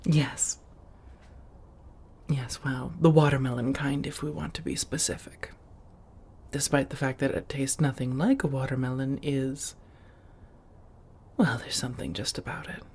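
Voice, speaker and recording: A refined vampire roommate, acted by a woman, speaks softly and breathily in an intimate ASMR roleplay. She takes her time over every phrase, teasing fondly with formal wording and coy pet names, often slipping toward a whisper. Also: rumbling low, measured pace, pauses often, breathy edge, exaggerated voice. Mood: playful, reassuring. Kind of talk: soft-spoken ASMR roleplay.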